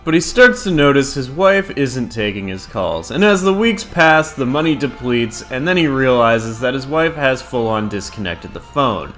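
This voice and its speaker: Guy doing a countdown review voiceover, animated, sweary and casual, music underneath. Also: mid-range voice, moderate pace, hardly any pauses. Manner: casual, profane. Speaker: video reviewer and host. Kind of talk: scripted countdown review commentary.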